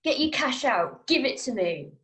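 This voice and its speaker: A woman's voice: high voice, very animated, normal speed, a bit bright. Menacing head of a hooded robbery gang, demanding and threatening, woman reader, amateur table-read drama.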